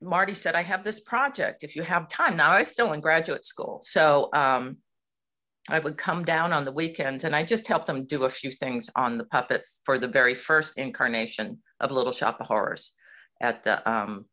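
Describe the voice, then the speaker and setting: A middle-aged woman from Hawaii, a puppeteer, chatting in an interview with lively, laughing talk. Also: deep voice, moderate pace. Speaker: puppeteer guest. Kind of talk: casual interview chat.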